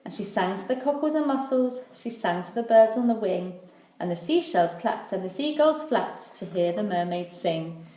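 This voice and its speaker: A woman reading a children's storybook aloud like a teacher, in measured, rhythmic phrases. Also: medium pitch, lively intonation, warm tone. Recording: echoey room.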